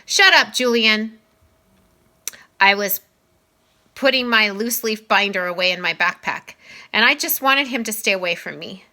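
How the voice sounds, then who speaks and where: A woman reading a children's novel aloud in an expressive, emphatic storytelling style. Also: mid-range voice, normal speed. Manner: animated, dramatized dialogue with emphatic delivery.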